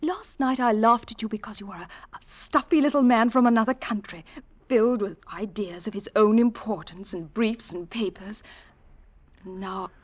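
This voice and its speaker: Woman acting an impulsive lovestruck society daughter, high-voiced. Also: normal speed, lightly acted. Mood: tender, pleading. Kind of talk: 1940s radio drama acting. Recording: 1940s radio broadcast recording.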